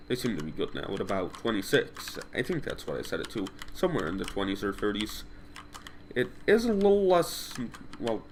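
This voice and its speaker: A man's voice: lowish voice, slight background noise. A man talks through his gameplay in a casual, rambling way, often correcting himself mid-sentence. His voice is a little stuffed up from a cold. Faint typing and room ambience sit behind his desk mic. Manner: rambling, self-correcting, thinks aloud. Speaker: gameplay commentator.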